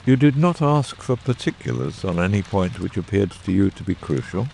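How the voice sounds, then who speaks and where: A man playing a shrewd, probing Victorian gentleman detective in a dramatic audiobook, incisive and questioning. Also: low-pitched, moderate pace, gravelly, slight background noise, heavily acted. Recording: steady rain ambience over voice. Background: rain.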